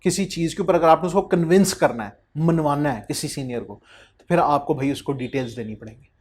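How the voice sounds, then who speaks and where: A man's voice: fairly high pitch, a little fast, a little mellow, breathy edge. A young man teaching an accountancy class, calling his students children and acting out little dialogues. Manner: addresses students as children, acts out quoted dialogues. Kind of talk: classroom lecture.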